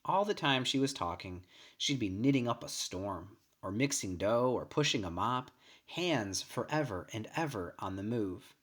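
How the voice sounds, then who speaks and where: A man's voice: fairly low pitch, animated, measured pace, a bit bright. Man reading a short story aloud. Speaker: story reader. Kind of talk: scripted narration of a short story, first person.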